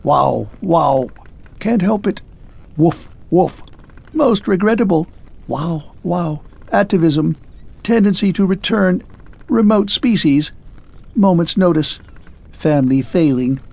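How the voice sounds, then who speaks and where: A man's voice: sits high, lively intonation, a little slow, vocal fry, darkish tone, breathy edge, voice pushed up, heavily acted. A man reading a storybook and voicing a clockwork man who speaks in clipped, broken phrases and barks like a dog. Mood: glitching. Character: male clockwork man, glitching and anxious.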